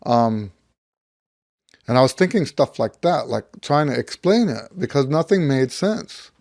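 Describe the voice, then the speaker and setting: Slightly hoarse middle-aged American man, dry recording. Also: medium pitch, lively intonation, measured pace, a little mellow, no room sound. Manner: rambling, conversational, thinking aloud. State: slightly hoarse, getting over losing his voice. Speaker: trumpet teacher. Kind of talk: casual talk to camera, personal anecdote.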